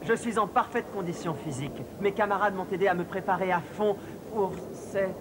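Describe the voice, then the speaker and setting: A man's voice: high voice, a little fast, heavy background noise, lightly acted. A man playing an earnest, driven young male champion skier in a cartoon dub. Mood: composed. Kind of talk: French cartoon dub acting.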